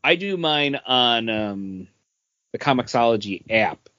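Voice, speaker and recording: A man's voice: medium pitch, animated, slow pace, sharp tone, dry recording. A man hosting a podcast in a casual, conversational style. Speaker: podcast host. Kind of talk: casual chat. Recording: dull lo-fi, dry close sound.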